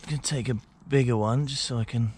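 A young man foraging in woodland, quietly muttering amazed remarks into a camera he carries. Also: mid-range voice, fairly even intonation, fast, a little hiss or hum. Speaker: forager vlogger. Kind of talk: casual walk-and-talk narration. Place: outdoors in woodland. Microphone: action camera worn or handheld.

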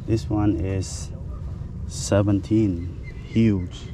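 Guy narrating his own fishing from a kayak, slow and kinda low, action cam mic, loud water and wind noise behind. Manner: short excited remarks about his catch. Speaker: angler filming his own fishing trip. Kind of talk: casual running commentary. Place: kayak on open water outdoors. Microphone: head-mounted action camera.

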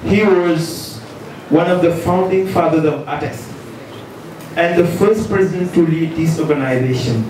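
A man's voice: on the high side, a little mellow. Senegalese man reading a formal welcome speech in an echoey hall. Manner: measured, ceremonious, reading aloud. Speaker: association president. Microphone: handheld mic, filmed from a distance. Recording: echoey hall, distant, faint noise.

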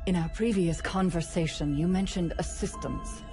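A woman's voice: fairly low pitch, fairly even intonation, moderate pace, natural voice. An actress playing a driven, bitter older woman scientist in a dramatic video game cutscene. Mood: calculating. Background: music.

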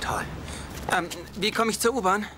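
A man's voice: high voice, steady tone, moderate pace, noisy background, natural voice. An actor dubbing a nervous, lovestruck young single man who rambles awkwardly in a German sitcom dub. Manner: awkward, rambling, self-mocking.

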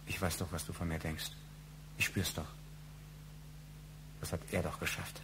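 A man's voice: low voice, little pitch movement, normal speed, background noise, natural voice. A male actor playing a brooding, suspicious husband with wounded pride in a German radio crime drama, intense and probing. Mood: bitter.

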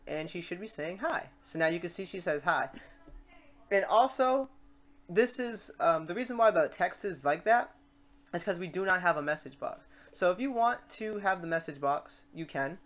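A tutorial presenter talking informally through software steps and thinking aloud while working. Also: mid-range voice. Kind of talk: casual screen-recorded software tutorial.

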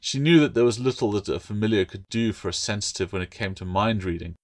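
Low-voiced man narrating an audiobook. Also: quick pace, dry recording, clean studio sound. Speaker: author reading own novel.

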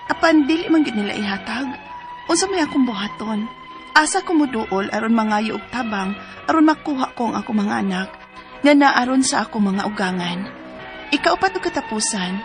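Woman acting hurt cebuano mom in a radio drama, high voice, pleading for her kids, music behind. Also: close to an everyday voice. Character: anguished battered young mother pining for her children. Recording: background music under the voice.

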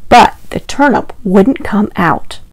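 A woman's voice: medium pitch, lively intonation, takes it slowly, dry recording. A young woman telling stories to small children as a storytime host, with exaggerated, encouraging delivery aimed straight at the listeners. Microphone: clip-on lapel mic.

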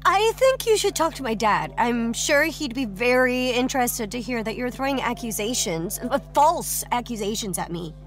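A sullen, defiant young woman in an audio drama. Her voice is fairly high and sarcastic, with music behind it. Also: big pitch swings, moderate pace, few pauses. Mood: defensive. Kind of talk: scripted audio drama acting.

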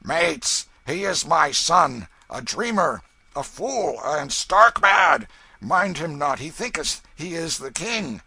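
Threatening vagabond villain, performed by a man, scornful, loud, raised pitch, wide swings, archaic storybook speech. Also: sing-song, slow, creaky, full character voice. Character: rough, threatening vagabond father, a murderous villain.

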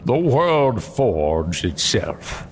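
A man voicing a stern dragon teacher, grave and very slow, with a low but expressive voice. It is fantasy audio drama. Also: a little hiss or hum, exaggerated voice. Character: stern male dragon teacher in human form. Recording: clear background ambience under voice.

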